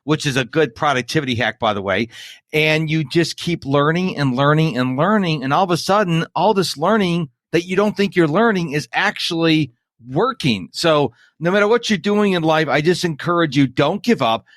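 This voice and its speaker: A man's voice: on the high side, lively intonation, moderate pace, fairly bright tone, no echo, studio quality. A man hosting a podcast, chatting in an upbeat, folksy way. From United States. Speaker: podcast host. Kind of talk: casual interview chat.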